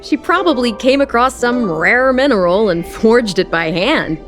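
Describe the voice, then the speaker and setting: A woman's voice: on the high side, expressive, unhurried, a bit bright. A woman voicing a gracious, hearty restaurant chef in a video game, effusive and fond of telling stories. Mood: fond, admiring. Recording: studio voice with clear music behind. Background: game music.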